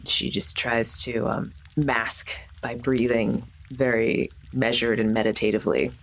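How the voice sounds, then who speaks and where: A woman's voice: a bass voice, level tone, a little slow. A woman playing a tabletop game, improvising her character's actions and words. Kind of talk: improvised roleplay, describing and voicing her character.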